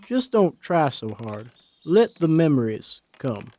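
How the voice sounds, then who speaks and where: A man voicing a steady, gruff elderly husband with a raspy voice in an audio story. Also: fairly high pitch, slow, full character voice. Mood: gentle, reassuring.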